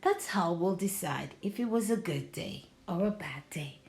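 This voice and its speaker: A woman voicing a patient, gently coaxing mother in a storybook, encouraging and a little mysterious. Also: fairly low pitch, expressive, moderate pace. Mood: instructing. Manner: encouraging, reassuring.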